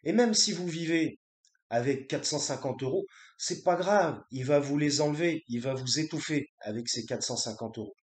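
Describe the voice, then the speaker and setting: A man's voice: fairly high pitch, moderate pace. Guy ranting about politics, keeps repeating himself, um-ing a lot, echoey room. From grew up in France from age three. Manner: indignant, repetitive, many restarts and fillers. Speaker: vlogger. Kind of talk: unscripted political rant.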